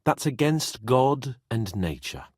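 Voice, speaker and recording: A haughty young British nobleman for a medieval video game. His delivery swings widely in pitch, sarcastic and exasperated, with a slightly husky edge and a dry, close sound. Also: sing-song, slow, darkish tone, no echo, heavily acted. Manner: pompous, sardonic, exasperated.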